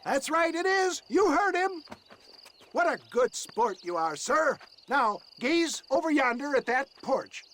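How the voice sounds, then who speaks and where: An old frontier huckster from a Western game, pitched very high for a man. He delivers grandiose, florid patter like a showman. Faint outdoor noise with insects sits behind the voice. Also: sing-song, measured pace, a bit bright, exaggerated voice. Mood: showman's bluster. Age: older. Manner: theatrical, florid, hawker's patter. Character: grandiloquent old snake-oil salesman in the Wild West.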